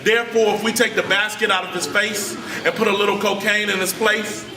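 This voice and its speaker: A man performing spoken word poetry with a forceful, declamatory delivery. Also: sits high, flat delivery, brisk, a crowd in the background. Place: large indoor hall with an audience. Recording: echoey hall from far off.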